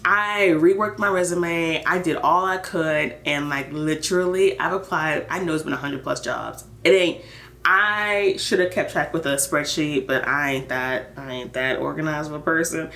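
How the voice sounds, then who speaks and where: A woman's voice: low voice, normal speed, a bit bright. A thirty-year-old American woman chatting casually and candidly in a personal vlog, telling her story with emphatic, conversational phrasing. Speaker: vlogger. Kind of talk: casual personal catch-up chat.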